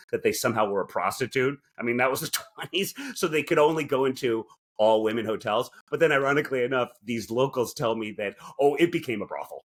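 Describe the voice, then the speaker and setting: A man co-hosting a podcast, chatting casually with a wry, joking manner. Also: fairly low pitch. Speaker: podcast co-host. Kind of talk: casual comedic chat.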